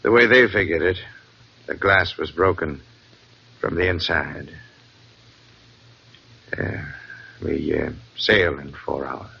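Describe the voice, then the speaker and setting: An actor playing a stern, cautious ship captain in a 1940s radio drama, clipped and commanding. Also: male voice, a bass voice, slow pace, gravelly, pauses often, husky, exaggerated voice. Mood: uneasy, grave. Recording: muffled old radio broadcast.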